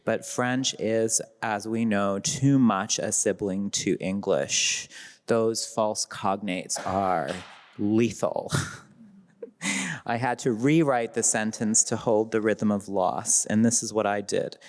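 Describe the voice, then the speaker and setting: A man with a Midwestern American accent, a literary translator speaking thoughtfully on a festival panel and laughing lightly as he goes. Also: on the low side. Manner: thoughtful, laughs lightly, slows down when reading lines aloud. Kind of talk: reflective panel talk. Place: festival event hall. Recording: long hall reverb.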